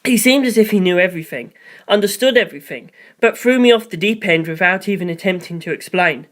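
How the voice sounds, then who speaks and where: A man's voice: high voice, fairly bright tone. Man narrating a first-person story.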